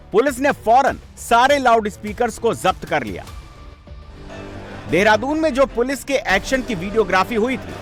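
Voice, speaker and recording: A man's voice: high-pitched, a bit bright. A man presenting scripted TV news narration in an emphatic, sensational, dramatic way. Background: background music.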